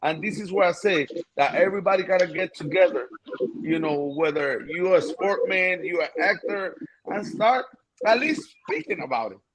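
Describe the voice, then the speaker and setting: A man's voice: high voice, animated, normal speed, fairly bright tone, rough recording. A man from Rico, a guest speaking passionately and repeating words for emphasis in casual panel talk. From Puerto Rico. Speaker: guest, boxer.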